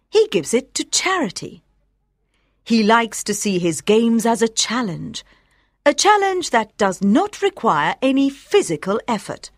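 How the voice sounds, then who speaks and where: Slow, expressive woman storyteller. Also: medium pitch, no room sound, clean studio sound. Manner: careful, clearly phrased storytelling. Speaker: audiobook narrator. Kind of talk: scripted story narration for English learners.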